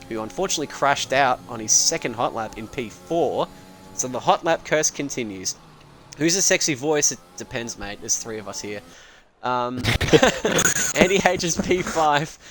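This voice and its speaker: A man's voice: mid-range voice, a bit bright, a little hiss or hum. A young Australian man doing live sim racing commentary, chatty and joking, with casual swearing.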